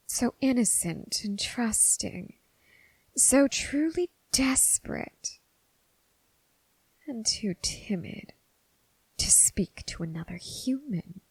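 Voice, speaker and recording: A woman voicing a bored, mocking female demon in an ASMR roleplay, half-whispering in a languid, condescending way with a husky, creaky voice. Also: on the low side, a little mellow, no echo, exaggerated voice, rough recording. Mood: weary boredom. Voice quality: breathy, husky, with creaky fry. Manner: languid, condescending, drawn-out phrasing with sighs.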